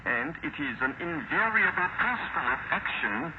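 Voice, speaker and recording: Shortwave radio, dull noisy signal, male announcer, fairly high voice, formal bulletin reading, solemn. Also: very animated, normal speed. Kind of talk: formal scripted state news bulletin reading.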